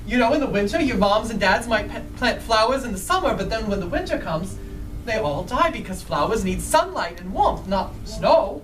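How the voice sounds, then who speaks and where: Woman as friendly Scarecrow telling children a story live; very lively intonation, distant and echoey in a room. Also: mid-range voice, moderate pace, music in the background, close to an everyday voice. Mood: dramatic storytelling. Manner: animated, asks the listeners questions. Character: female-voiced Scarecrow, friendly eager storyteller. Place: indoors in a bookstore. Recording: roomy live event, distant microphone.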